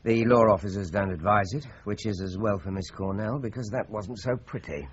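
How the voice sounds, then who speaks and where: An actor playing a methodical British police inspector in a radio drama, explaining things in a deliberate way. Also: male voice, fairly low pitch, muffled recording, slight background noise, natural voice. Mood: matter-of-fact. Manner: deliberate, expository. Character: measured, methodical male police inspector explaining a murder case.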